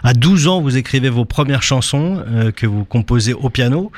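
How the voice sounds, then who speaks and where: A man's voice: mid-range voice, lively intonation, moderate pace, a little mellow, dry recording. A man interviewing a singer, asking short prompting questions. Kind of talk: radio-style interview.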